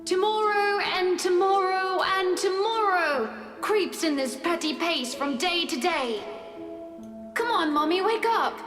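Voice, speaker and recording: A woman's voice: very high-pitched, slow, a bit bright, heavily acted. A woman voicing an earnest young child in a visual novel, eager and pleading, in an echoing, distant recording. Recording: distant, echoing with long reverb. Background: music.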